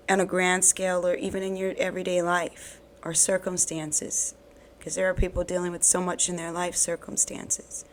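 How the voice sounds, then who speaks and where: A woman with a slightly low, even voice speaks earnestly to her viewers, encouraging them in faith. She is hoarse from being sick, and faint noise sits under the recording. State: hoarse, recovering from illness. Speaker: bible reading channel host. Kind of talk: casual devotional talk to camera.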